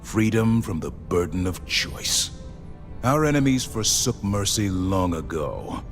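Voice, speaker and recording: Grandiose, low-voiced military propaganda officer. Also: male voice, big pitch swings, slow, heavily acted. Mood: solemn zeal. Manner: grandiose, stately, formal pronouncements. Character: solemn zealous military officer, male propagandist of a sci-fi empire. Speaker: game character voice actor. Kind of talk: scripted dramatic game voicelines, oratory. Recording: long hall reverb, music behind. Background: music.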